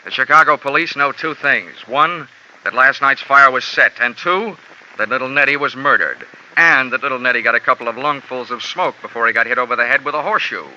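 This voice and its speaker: An actor playing a wisecracking, tough insurance investigator who also narrates, in a vintage radio drama on a dull old recording. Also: male voice, mid-range voice, a little fast, bright, forceful tone, slight background noise. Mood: wry. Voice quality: dry, sardonic wisecracks. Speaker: narrator and lead. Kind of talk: 1950s radio drama acting.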